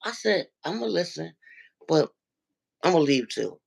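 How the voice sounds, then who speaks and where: A man with a deep, nasal voice who calls into a livestream and tells a story, acting out what other people said. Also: unhurried, gravelly, slightly rough. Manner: animated storyteller, acts out quoted dialogue. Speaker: regular viewer calling into a livestream. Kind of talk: casual chat, retelling an online argument.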